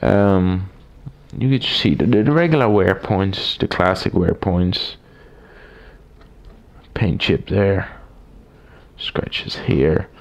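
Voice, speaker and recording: Raspy-voiced man with a cold. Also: low voice, slow, pauses often. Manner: rambling, with frequent fillers and restarts. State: recovering from a cold. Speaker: typewriter collector reviewing a machine. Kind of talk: casual hands-on review. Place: small room at a desk, indoors. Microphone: camera mic, speaker off-camera.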